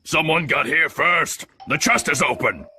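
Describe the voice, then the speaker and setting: Man as an agitated, high-pitched pirate henchman. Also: sing-song, moderate pace, background noise. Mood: urgent. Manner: calling out loudly from a distance. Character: agitated pirate henchman calling down to his leader.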